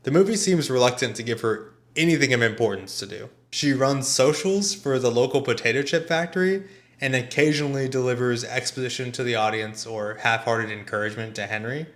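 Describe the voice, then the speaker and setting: A man's voice: mid-range voice, a bit bright. A man delivering a scripted, comedic movie review with a sarcastic manner. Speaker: video essayist and film reviewer.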